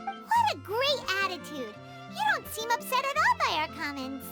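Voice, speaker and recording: Casting: pint-sized game fairy sidekick, squeaky, very high, animated, blunt and chirpy. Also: female voice, normal speed, exaggerated voice. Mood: upbeat, considerate. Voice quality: squeaky, childlike. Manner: refers to self in third person, frank. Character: small childlike floating fairy companion girl, blunt and chirpy. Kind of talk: video game voice acting. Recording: music behind the voice.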